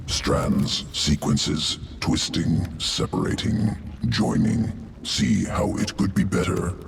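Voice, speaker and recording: A man voicing a monstrous, clinical gene-weaving alien in a video game, guttural and gravelly, speaking in clipped fragments. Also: rumbling low, big pitch swings, slow, distant mic, slight background noise, exaggerated voice. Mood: absorbed, clinical. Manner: clipped fragments, articles dropped. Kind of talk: video game character acting.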